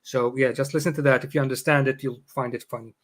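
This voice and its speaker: A man's voice: medium pitch, steady tone, quick pace. A middle-aged man from Iraq, a native Arabic speaker, chatting casually as a podcast guest, jokey and full of hesitant fillers. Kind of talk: casual conversation.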